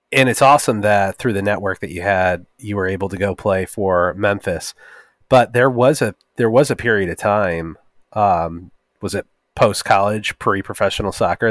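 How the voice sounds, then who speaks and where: A man's voice: deep voice, normal speed, a bit bright, dry recording, studio quality. A man hosting a podcast, asking questions in a jokey, rambling way with many fillers. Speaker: podcast host. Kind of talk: casual podcast interviewing.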